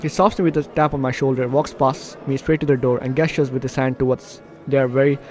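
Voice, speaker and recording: A man's voice: mid-range voice, steady tone, a little fast, faint music behind. A man doing let's play commentary, reading game narration aloud with jokey asides and stumbles over words. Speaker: gaming video host.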